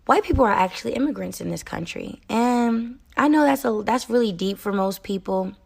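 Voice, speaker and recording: A woman's voice: a little high, lively intonation, normal speed, creaky. A young American woman hosting a solo podcast, talking in an earnest, emphatic way with frequent "um" and "okay?". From United States. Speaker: podcast host.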